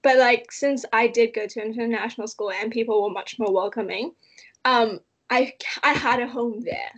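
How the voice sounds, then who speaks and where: A woman's voice: a little high, normal speed. A young woman from Malaysia talking casually in an interview, with lots of "like" fillers. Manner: chatty, frequent "like" fillers.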